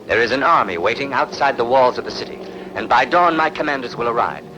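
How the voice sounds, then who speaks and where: An actor playing an ambitious, ruthless warlord in a dubbed historical epic, speaking in a grand, declamatory way. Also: male voice, medium pitch, animated, quick pace, sharp tone, slight background noise, low-quality recording. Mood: commanding, menacing. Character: ambitious, ruthless, proud conquered warlord plotting to seize a throne.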